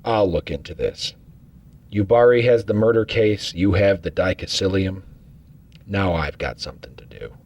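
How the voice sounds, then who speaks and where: A man playing a husky, calm acting starship captain. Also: low-pitched, moderate pace, lo-fi sound. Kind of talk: fan audio drama acting.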